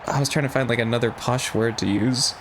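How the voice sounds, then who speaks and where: A man's voice: medium pitch, fairly even intonation, fairly quick, noisy background. A man giving casual, slangy livestream commentary on a game, in a joking, giggly manner. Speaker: game streamer. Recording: game music behind the voice.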